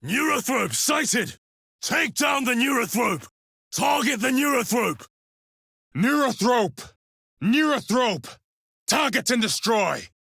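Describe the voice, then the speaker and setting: An actor playing a disciplined, tactical space marine giving terse military reports in a video game. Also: male voice, extremely high pitch, very animated, slow pace, vocal fry, long pauses, bright, forceful tone, husky, no room sound, shouting, clean studio sound, heavily acted. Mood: urgent. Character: clipped, clinical male space marine soldier, disciplined and tactical. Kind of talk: video game combat voice lines.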